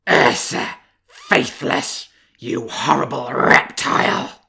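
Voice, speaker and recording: A man voicing a huge furious grey wolf in a storybook reading, bellowing slow, gravelly threats. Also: medium pitch, lively intonation, heavily acted. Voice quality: gravelly, shouting.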